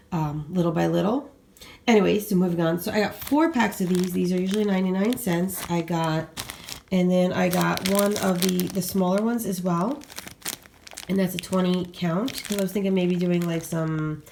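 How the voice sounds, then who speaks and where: A middle-aged woman with a hoarse voice from a cold, chatting casually to viewers with lots of fillers and restarts. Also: fairly low pitch, darkish tone. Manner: rambling, many fillers and restarts. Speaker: vlogger showing a grocery haul. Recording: echoey room, voice a bit far from mic.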